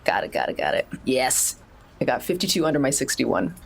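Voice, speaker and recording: A man's voice: mid-range voice, big pitch swings, a little fast, creaky, husky. A man playing a tabletop role-playing game, talking casually in a hesitant, musing way. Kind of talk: casual in-character table talk.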